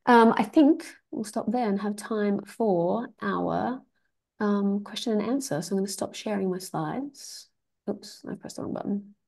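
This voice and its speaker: A woman's voice: medium pitch, unhurried, gravelly, darkish tone. A woman giving a webinar presentation over a video call, talking with many ums and restarts. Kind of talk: academic webinar talk.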